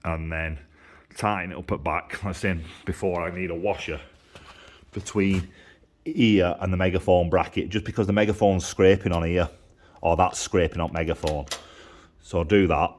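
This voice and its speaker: A man's voice: sits low, fairly even intonation, moderate pace, a little mellow, a little hiss or hum. A man giving a casual, hands-on workshop demonstration, explaining informally step by step and repeating himself. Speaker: motorcycle exhaust maker and mechanic. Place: motorcycle workshop. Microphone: handheld camera held by the speaker.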